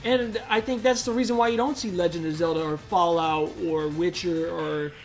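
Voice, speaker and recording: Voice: mid-range voice, fairly even intonation, moderate pace, soft background music. A podcast co-host talking casually and with opinions, emphatic, slangy and given to swearing.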